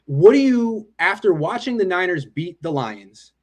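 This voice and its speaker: Animated higher-pitched man hosting sports talk. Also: measured pace. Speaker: host of a fan conference call. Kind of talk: casual sports discussion.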